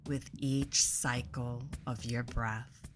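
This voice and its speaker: Very low, slow-spoken woman guiding meditation. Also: steady tone. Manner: soothing, deliberate, pausing between phrases. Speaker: meditation guide. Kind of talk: guided meditation narration. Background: instrumental music.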